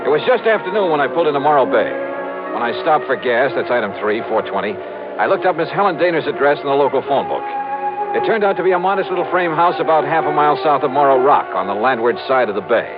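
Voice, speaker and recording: A man's voice: mid-range voice, very animated, fast, lightly acted. Wry, hard-nosed American male investigator played by an actor, vintage radio detective delivery, muffled band. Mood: matter-of-fact. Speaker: lead actor and narrator. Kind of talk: 1950s radio detective acting and narration. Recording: muffled old radio recording with music.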